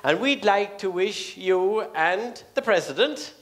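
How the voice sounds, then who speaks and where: A man with an Irish accent making formal, measured announcements as master of ceremonies on a theatre stage. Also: high voice, animated. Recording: live theatre stage with audience. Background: audience noise.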